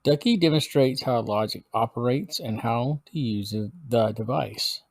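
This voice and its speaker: Podcast guy reading his review script, steady mid voice. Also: mid-range voice, no echo, studio quality. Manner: measured, read-aloud delivery. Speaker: podcast host.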